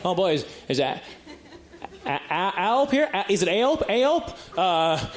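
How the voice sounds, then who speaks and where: A man's voice: high-pitched, big pitch swings, a little slow. A man doing conversational stand-up comedy, rambling and stumbling over words as he tells stories in a live recording. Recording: live stand-up recording with clear background noise.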